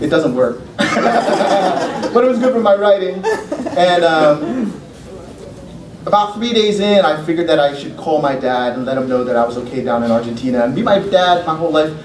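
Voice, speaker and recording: A man's voice: a little high, darkish tone. A middle-aged man, a poet, reading his poems at a lectern in a lecture room, with loose, joking, profane asides between them. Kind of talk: poetry reading with casual asides. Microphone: podium mic from a far camera. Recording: roomy, distant, noisy room sound.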